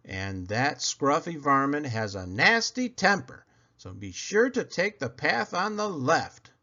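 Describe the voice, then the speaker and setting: A man acts a storybook father rabbit who keeps insisting on being heard. The delivery is emphatic and forceful, pitched up with wide swings. The sound is a bit muffled. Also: very animated, unhurried, exaggerated voice. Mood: warning. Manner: emphatic, instructing. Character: insistent father rabbit asking and warning his son.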